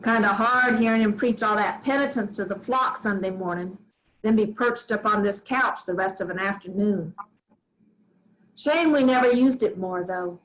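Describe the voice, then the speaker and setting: A woman's voice: medium pitch, moderate pace, fairly bright tone, slight background noise, close to an everyday voice, poor audio. A woman reading a wry old farm widow. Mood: dry. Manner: dry, wry, plainspoken rural talk. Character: Grams, weary old farm widow, dry and stubborn. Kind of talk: staged play reading.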